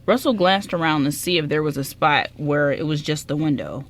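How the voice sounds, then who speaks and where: A woman's voice: low-pitched, moderate pace. A woman reading scripted story narration as the narrator of a radio drama.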